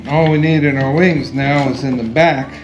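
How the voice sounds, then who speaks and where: A retired man in his sixties talks through a workshop project step by step, in a plain, unhurried voice at an ordinary middle pitch. His voice echoes around a garage, and guitar music plays clearly behind him. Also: fairly even intonation. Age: 60s. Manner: plain, unhurried step-by-step explaining while working. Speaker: DIY workshop host. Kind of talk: hands-on how-to demonstration.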